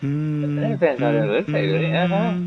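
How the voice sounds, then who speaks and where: A man's voice: fairly high pitch, moderate pace, lo-fi sound, a loud crowd. A man giving casual playthrough commentary as a gaming host, muttering to himself and reacting aloud. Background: game music.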